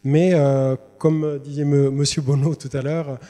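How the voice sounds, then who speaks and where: A man's voice: a little high, level tone, a little slow, warm tone. A man, a literary translator, giving a presentation to students, hesitant with many fillers and restarts. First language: Italian. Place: university lecture hall. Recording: long reverb in a large hall.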